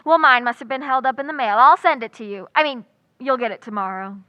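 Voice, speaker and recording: A woman playing a wry, quick-witted schoolteacher in old-time radio comedy style, with dry, sardonic asides that stay polite. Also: high-pitched, pushed and bright, no room sound, dull audio.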